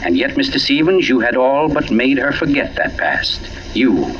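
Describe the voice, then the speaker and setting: Man acting urbane, theatrical gentleman sleuth, lively delivery. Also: medium pitch, slight background noise, close to an everyday voice. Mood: confiding, measured. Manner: pompous, teasing, deliberate, flourishing. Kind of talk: 1940s radio mystery acting. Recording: dull lo-fi old radio broadcast.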